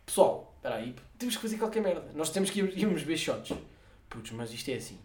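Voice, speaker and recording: Casting: young male podcast co-host, European Portuguese accent, quick slangy banter, slightly high voice. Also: sing-song, darkish tone. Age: young adult. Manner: slangy, swearing. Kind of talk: casual banter with a friend. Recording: roomy, echoing room sound.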